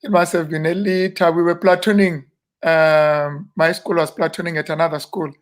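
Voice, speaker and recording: A South African man in his fifties, a minister, reminiscing in an unhurried, rambling interview with many fillers and restarts. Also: fairly high pitch, flat delivery. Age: 50s. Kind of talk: relaxed reminiscing interview talk.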